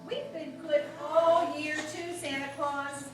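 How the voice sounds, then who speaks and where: A woman's voice: sits high, steady tone, slow pace. A woman voicing a sweet, earnest, childlike baby animal in a children's puppet show. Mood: hopeful. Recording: echoey, distant, loud music behind.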